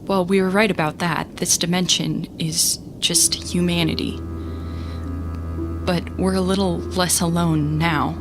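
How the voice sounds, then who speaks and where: A woman's voice: lowish voice, steady tone, unhurried, music in the background. A woman playing an exasperated young space captain who narrates her story in a sarcastic, conversational way for an audio drama. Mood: weary, earnest. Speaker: narrator and lead character.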